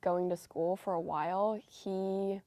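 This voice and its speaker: A young woman who is a college student, answering interview questions in a soft, thoughtful, casual way. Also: medium pitch, fairly even intonation, takes it slowly, dry recording. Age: young adult.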